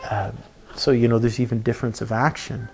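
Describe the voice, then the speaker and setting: A man with a gravelly, creaky voice teaches scripture in an informal sermon. His pitch sits in a middle range for a man. He works through his thoughts haltingly, pausing to rephrase and often tagging points with a questioning check. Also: flat delivery, darkish tone, slight background noise, poor audio. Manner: halting, thinking aloud, often adds "right?" to check. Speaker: preacher teaching a Bible passage.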